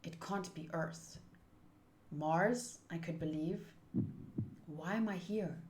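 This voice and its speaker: A woman's voice: lowish voice, slow, darkish tone, roomy sound, a little hiss or hum. A woman streaming a visual novel playthrough, talking informally and thinking aloud or reading the game's text. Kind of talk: casual livestream chat and reading game text aloud.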